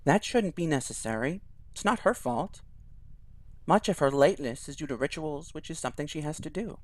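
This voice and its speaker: A man voicing a kind, confident schoolgirl who sounds bright and reassuring. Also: fairly high pitch, expressive, moderate pace, dry recording, pitched above the performer's own voice. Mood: defending.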